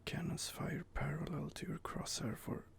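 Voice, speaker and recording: A man's voice: on the high side, fairly even intonation, moderate pace, creaky. A man whispering casual gaming ASMR commentary while he reads out game options and muses over them. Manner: soft whispering, casual and musing.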